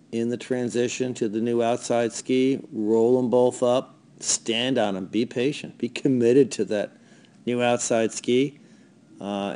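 Man, ski racing coach, video voice-over, relaxed, halting, filler-heavy. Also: mid-range voice, smooth. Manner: relaxed, hesitant, many fillers.

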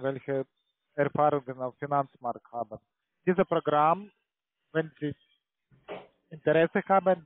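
A man with a foreign accent speaking non-native German as a call centre salesman, pitching haltingly and tagging sentences with "ja". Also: no room sound. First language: not German. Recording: tinny narrow phone line.

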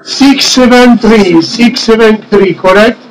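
A man from France with a French accent, a native French speaker, making a radio contact as an amateur operator in a halting, repetitive way. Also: high-pitched, a crowd in the background, poor audio. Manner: halting, repeats numbers and phrases.

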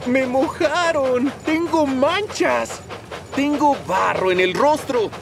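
A man's voice: extremely high pitch, slow pace, creaky, background noise, exaggerated voice. A man voicing a touchy, sulky little steam train in a Latin American children's cartoon, grumbling and exclaiming indignantly.

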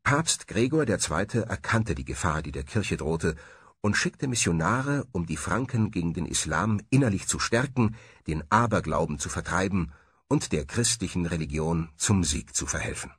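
A man reading documentary narration in a solemn, deliberate way. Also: low voice, lively intonation, a bit bright, slightly rough, no echo.

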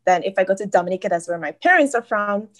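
A woman's voice: mid-range voice, animated, fast talker. A woman educator from Saint Martin with a Caribbean accent, answering interview questions in a friendly, enthusiastic way. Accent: Caribbean English, Saint Martin. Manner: friendly, enthusiastic about her island. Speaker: educator, guest.

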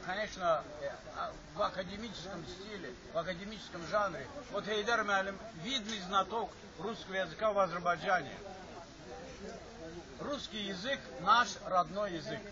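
Older man, rambling reunion speech. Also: high-pitched. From Azerbaijan. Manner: rambling, repeats himself, stops to restart. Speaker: alumni speaker. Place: assembly hall. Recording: dull lo-fi recording with chatter from the audience.

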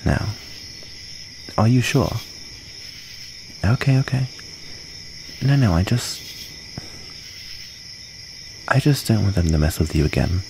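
Low, gravelly, hesitant young vampire played by a man. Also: animated, exaggerated voice. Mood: concerned. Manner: hesitant, halting, soft-hearted delivery. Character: shy, wounded, gentle outcast young male vampire. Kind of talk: ASMR comfort roleplay. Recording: noisy, cricket ambience behind.

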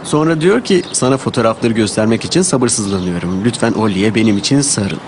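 Man voicing a quick, kindly cartoon dad. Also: on the low side, background noise, close to an everyday voice. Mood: friendly. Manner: kindly, encouraging. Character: kindly, encouraging young father of a small boy. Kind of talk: children's cartoon dubbing.

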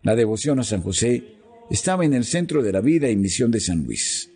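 A man reading a devotional text in a slow, solemn, measured way, pausing between phrases. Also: mid-range voice, sing-song, slightly rough. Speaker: devotional reader. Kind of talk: slow scripted devotional narration. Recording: soft music underneath.

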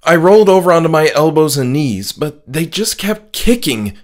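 A man's voice: a little high, very animated, normal speed, voice pushed up. A man voicing a frightened, injured male friend who speaks in agitated, insistent protest in a horror audiobook. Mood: tense recounting. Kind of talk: horror audiobook character dialogue.

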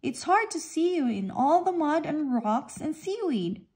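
A woman's voice: sits high, sing-song, unhurried, darkish tone, voice pushed up. A woman voicing a fretful, chirpy female angelfish in a storybook. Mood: apologetic.

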